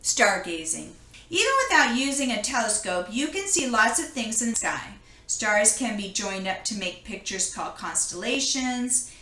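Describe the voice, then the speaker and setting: A woman reads a children's picture book aloud in a bright, clearly forward voice that sits on the high side. She explains as she goes, pointing things out to young listeners. The room around her is noticeably echoey. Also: big pitch swings, moderate pace.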